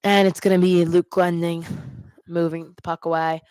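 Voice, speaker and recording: A woman's voice: on the low side, level tone, normal speed, darkish tone, smooth, no echo, studio quality. A woman hosting a live hockey watch party, giving amateur play-by-play mixed with exasperated fan asides.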